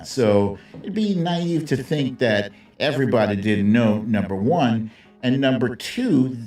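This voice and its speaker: A man with a mid-range voice delivers casual, opinionated live commentary at an unhurried pace. He is sardonic and salty, talking straight to viewers. He sounds set back from the mic in a roomy, echoing space, with music behind him. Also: mid-range voice, darkish tone, distant mic. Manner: sardonic, conversational, salty slang. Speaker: host of a live political commentary stream.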